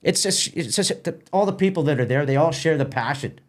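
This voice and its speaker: A man's voice: a little high, animated, fairly quick. A middle-aged man with a Canadian accent, giving a casual interview as a theatre company president, often repeating words as he explains.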